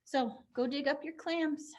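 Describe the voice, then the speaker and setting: A woman's voice: high-pitched, fairly even intonation, measured pace, a little hiss or hum. A young woman teacher leading a pantomime activity online, upbeat and encouraging as she explains each step.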